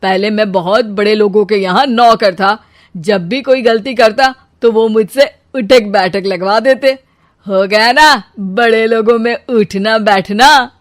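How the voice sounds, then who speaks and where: A glib young husband from a cartoon moral story, voiced by a woman, boasting and slipping out of trouble with hesitant excuses. The voice sits at an ordinary female pitch, in a very dry recording. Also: measured pace, no echo, lightly acted. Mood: glib, self-justifying. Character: boastful, evasive young husband telling slippery half-truths.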